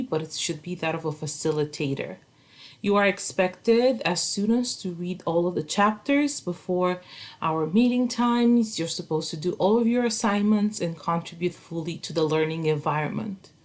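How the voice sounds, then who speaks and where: Woman, 30s, lower register; earnest, formal college instructor giving a welcome talk. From Romania. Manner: earnest, structured and a little formal, lifting the start of each new point. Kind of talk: course welcome video.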